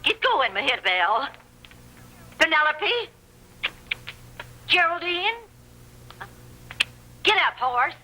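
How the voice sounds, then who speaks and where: A woman's voice: high voice, sing-song, drawn-out words, long pauses, background noise, heavily acted. An actress playing a blustery, blunt older backwoods woman with a folksy rustic twang in an old radio western. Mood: bossy. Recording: vintage lo-fi radio drama recording.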